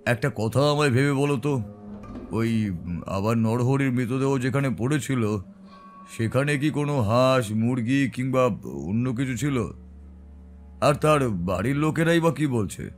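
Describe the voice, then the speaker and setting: A man performs a grave tantric holy man telling an eerie first-person tale. His voice is composed and medium-pitched, in dramatic audio storytelling over faint music. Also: a little slow, long pauses, natural voice. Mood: serious, urgent.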